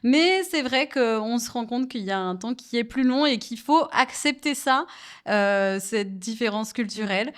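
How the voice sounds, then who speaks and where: A woman's voice: fairly high pitch, lively intonation, unhurried. A woman from France chatting casually and reflectively, asking questions and using fillers. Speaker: co-host and interviewer. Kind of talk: casual conversation.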